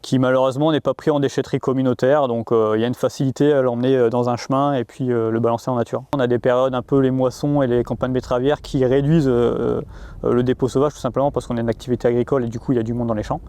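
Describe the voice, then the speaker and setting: A man working as a rural police officer, explaining his job in an interview with frequent pauses and repeated words. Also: mid-range voice, little pitch movement, mellow, dry recording. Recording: outdoor urban noise, vehicles behind.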